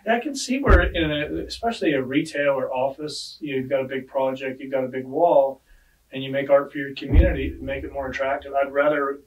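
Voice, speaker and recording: A man's voice: mid-range voice, brisk, distant mic, low-quality recording. A middle-aged man hosting a podcast, asking questions in a friendly, chatty, self-deprecating way in a studio. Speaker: podcast host. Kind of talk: casual interview chat. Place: studio with dark padded walls. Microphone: podcast mic on a desk arm.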